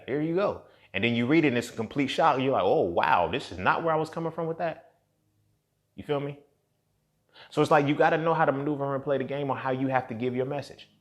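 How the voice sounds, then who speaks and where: A thirty-year-old man giving a casual, unscripted podcast monologue in a blunt, slangy, heavily profane and emphatic way. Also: mid-range voice. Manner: emphatic, with rhetorical questions and check-ins. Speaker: podcast host and entrepreneur.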